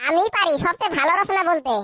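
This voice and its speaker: A woman's voice: very high voice, fast, sharp tone, no room sound, studio quality. A woman voicing a meek, naive and cheeky schoolboy answering in a comic cartoon. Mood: earnest, naive. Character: cheeky, dim young schoolboy pupils, meek before teacher.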